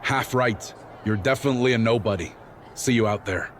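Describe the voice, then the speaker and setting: An actor playing a scornful, sarcastic rival pro wrestler in a sports game, sneering and mocking. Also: male voice, medium pitch, fairly quick, a little hiss or hum, natural voice. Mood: contemptuous. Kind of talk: cinematic sports game dialogue.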